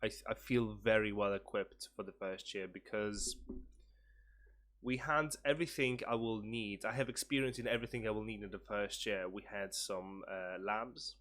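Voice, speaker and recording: A man's voice: on the low side, steady tone, normal speed, a bit bright. A man who is a university student, talking casually and earnestly to camera about his studies, with frequent fillers and restarts. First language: Polish.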